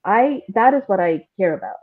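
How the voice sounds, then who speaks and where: A woman's voice: mid-range voice, big pitch swings, moderate pace. A woman guest talking casually and candidly in an interview, thinking aloud in an informal way. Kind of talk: casual reflective interview talk. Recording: narrow, phone-like call audio.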